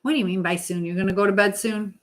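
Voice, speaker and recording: A middle-aged woman hosting a casual live chat, reading out messages and giving motherly advice in a rambling, halting way. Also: medium pitch, steady tone. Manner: motherly, advising. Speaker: live stream host.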